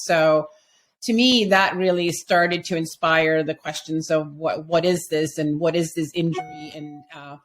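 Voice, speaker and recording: A middle-aged woman from Sweden, a physical therapy professor, answering interview questions in a measured, explanatory way. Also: deep voice.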